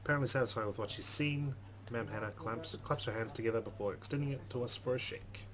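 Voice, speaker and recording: A man's voice: mid-range voice, fast. A man reading a visual novel aloud as a let's play host, slipping in his own asides.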